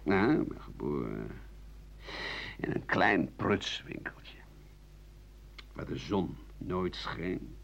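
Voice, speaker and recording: A man's voice: low-pitched, big pitch swings, slow pace, creaky, long pauses, a bit bright, heavily acted. An actor playing an embittered ageing writer and doctor who rambles sardonically through his memories in a radio monologue. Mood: bitter, wistful. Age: older. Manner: rambling, bitter, sardonic, with muttering and dry chuckles. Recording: dull 1980 radio play recording.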